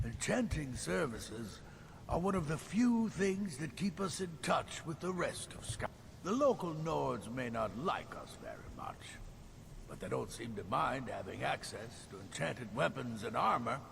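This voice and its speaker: An actor playing a male mage who offers enchanting services in a fantasy video game, with a gravelly voice. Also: medium pitch, very animated, unhurried, a bit bright, a little hiss or hum, heavily acted. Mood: matter-of-fact. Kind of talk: scripted video game dialogue.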